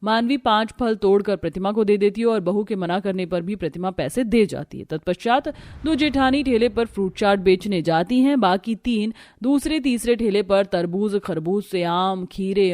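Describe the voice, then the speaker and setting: A woman's voice: medium pitch, moderate pace, darkish tone. A woman narrator reading a scripted family story for a cartoon.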